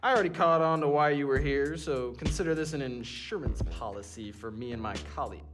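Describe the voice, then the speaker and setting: A man's voice: on the high side, brisk, over music, lightly acted. Pompous, impatient genius doctor, man acting. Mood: condescending, measured. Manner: precise, pompous, dry. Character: impatient, coolly arrogant genius medical doctor. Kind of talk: audio drama dialogue.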